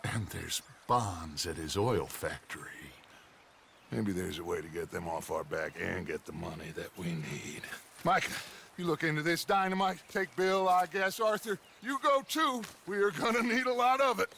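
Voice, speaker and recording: A man's voice: mid-range voice, expressive, vocal fry, fairly bright tone, slight background noise. A man playing a proud, aging American outlaw gang leader in a video game drama, with a grandiose, theatrical way of talking. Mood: scheming. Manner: theatrical, grandiose, measured drawl.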